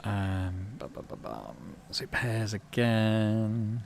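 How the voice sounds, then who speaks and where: A man's voice: on the low side, sing-song, very slow, creaky, a little hiss or hum. A man casually commentating on a game, thinking aloud in murmured asides and reading story text out. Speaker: gaming channel host.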